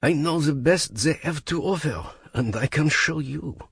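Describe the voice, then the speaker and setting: A man voicing a smug, drunk French billionaire with a thick, smooth, slurring French accent. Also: fairly high pitch, a little slow, no room sound, voice pushed up, heavily acted. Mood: suave.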